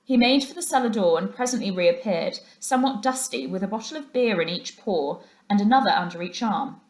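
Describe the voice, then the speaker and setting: A woman's voice: medium pitch, expressive, fairly quick, darkish tone, echoey room, poor audio. A woman narrating a children's story aloud as a teacher reading to a class.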